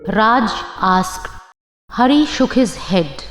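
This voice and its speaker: A woman's voice: a little high, expressive, takes it slowly. A woman narrating a scripted horror story as an audio drama storyteller. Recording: echoey, long reverb under music. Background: music and birdsong.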